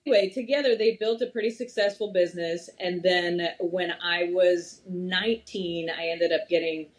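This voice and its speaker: A young woman from Massachusetts, a podcast guest, talking at length in an animated, storytelling way. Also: lowish voice, moderate pace, rough recording. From Massachusetts, USA. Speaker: podcast guest, wealth strategist. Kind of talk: casual interview chat.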